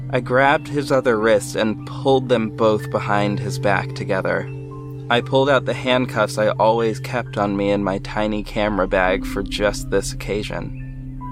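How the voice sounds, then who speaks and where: A man playing a protective, self-assured ex-cop detective with a measured, firm delivery in an audio drama. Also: fairly low pitch, close to an everyday voice. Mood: narrating, composed. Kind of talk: first-person audio drama narration and dialogue. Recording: music bed behind the voice. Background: music.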